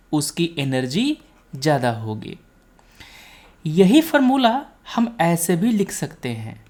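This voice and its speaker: An Indian-accented woman teaches in a low voice with very lively intonation. She explains step by step at a slow pace, repeating key points and pausing to ask if it is clear. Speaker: teacher, exam coaching instructor. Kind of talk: explanatory lecture with check-in questions.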